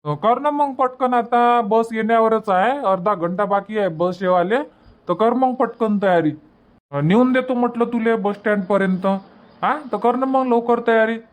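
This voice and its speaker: A man's voice: sits high, moderate pace, close to an everyday voice. A practical village uncle from a cartoon comedy , performed by a man. He speaks in a rural Varhadi Marathi dialect, as in everyday family dialogue. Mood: hurrying, practical.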